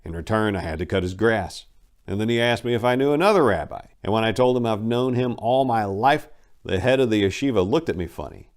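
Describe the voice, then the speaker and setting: Male narrator, deep register, folksy and conversational, reading personal memoir as a dramatic reading. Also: expressive, fairly quick. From southern United States (Memphis).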